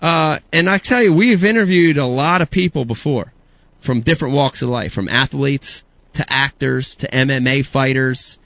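A man hosting a casual podcast, playful and hyped, with bits of announcer-style shouting. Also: medium pitch, moderate pace, no room sound. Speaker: host. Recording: dull dry lo-fi sound.

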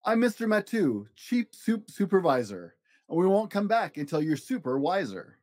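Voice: mid-range voice, big pitch swings, moderate pace, darkish tone, dry recording, clean studio sound, natural voice. A reader's exuberant, eccentric storybook balloon pilot man. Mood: grandly enthusiastic. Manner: theatrical, exclamatory, rhyming. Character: exuberant eccentric male balloon pilot, chief soup supervisor.

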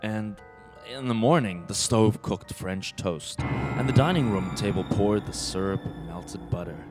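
A man's voice: lowish voice, unhurried, loud music behind the voice, natural voice. A man acting as the brooding narrator of an ominous radio play, in dramatic fragmentary phrases. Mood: measured.

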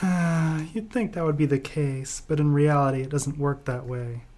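A man's voice: on the high side, a little slow, darkish tone, close to an everyday voice. Man acting whiny, hapless fatalist. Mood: glum, resigned. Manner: whiny, melodramatic. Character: self-pitying, fatalistic, put-upon male hapless wanderer. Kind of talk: comic radio play acting.

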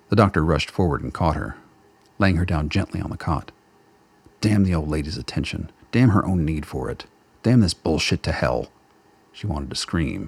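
A man's voice: extremely low pitch, gravelly, husky. A man reading scripted narration for a radio play as its storyteller. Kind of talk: scripted audio drama narration. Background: music bed.

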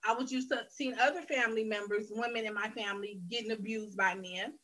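A woman tells her own story on a faith interview show. Her voice is fairly high and bright, and she speaks earnestly and candidly, often circling back to restart a phrase. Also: fairly even intonation, a little fast, rough recording. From Detroit, Michigan, USA. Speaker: guest sharing her life story. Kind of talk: personal testimony in a faith interview.

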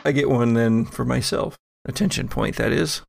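A man acting as game master, narrating a tabletop roleplaying game in a halting, deliberate, improvised way. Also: medium pitch, creaky, noisy background.

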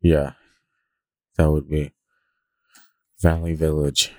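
A man's voice: very deep voice, little pitch movement, slow pace, no room sound, clean studio sound. A man co-hosting a podcast, talking casually in a slangy, joking way. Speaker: podcast co-host. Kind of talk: casual banter.

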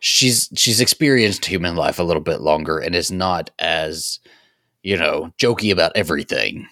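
A man's voice: low voice, normal speed, pushed and bright, no echo, clean studio sound. A man co-hosting a podcast in casual fan chat, playfully dramatic and prompting the others with questions. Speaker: podcast co-host.

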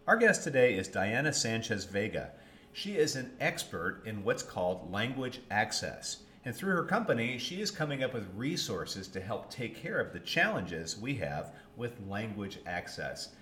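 A lower-voiced man works as a podcast host, talking in a folksy, conversational way. His pitch moves around a lot, and the room adds clear echo with some noise behind him. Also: a bit bright. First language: English. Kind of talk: conversational podcast introduction and interview. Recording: roomy echo with background noise.

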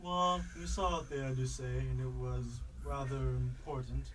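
A man voicing a calm, wise bear guide in an amateur radio drama, speaking in a measured, reassuring way. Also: mid-range voice, distant mic, a little hiss or hum, natural voice.

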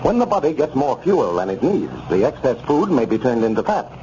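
A man's voice: medium pitch, big pitch swings, fairly quick, dark tone. A man announcing and reading a scripted 1930s radio advertisement. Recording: muffled 1930s radio recording, clear noise.

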